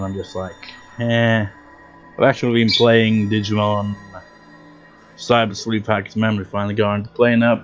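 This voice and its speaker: A man's voice: lowish voice, lo-fi sound. A man hosting a video game let's play, chatting in a rambling, self-deprecating way while still getting over a cold. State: recovering from a cold. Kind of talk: rambling casual commentary.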